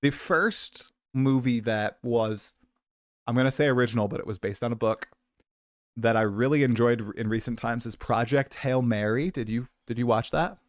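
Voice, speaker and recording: A chatty man in his late twenties hosts a casual livestream, rambling and poking fun at himself while he's coming down with a cold. His voice is smooth and resonant at a middling pitch. It's dry and close on the mic. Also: moderate pace, no room sound. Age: 20s. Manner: chatty, self-deprecating, rambling. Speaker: streamer host.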